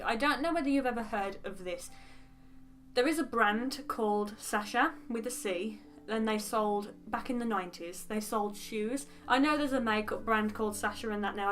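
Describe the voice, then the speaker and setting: A woman's voice: on the high side, fairly bright tone. A young woman from the English Midlands with a West Midlands accent, chatting frankly and rambling with asides in a casual get-to-know-me vlog. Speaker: beauty vlogger.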